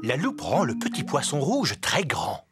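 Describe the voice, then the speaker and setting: A storytelling narrator of a children's cartoon. The voice is very low yet lively in its intonation, with loud music underneath. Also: female voice, unhurried, exaggerated voice.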